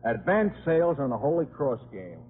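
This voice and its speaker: A man's voice: medium pitch, sing-song, normal speed, phone-quality sound, a little hiss or hum, lightly acted. A man playing a roguish football coach and father with a casual, slangy manner in a 1950s radio drama. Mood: upbeat. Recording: very thin vintage 1950s radio recording.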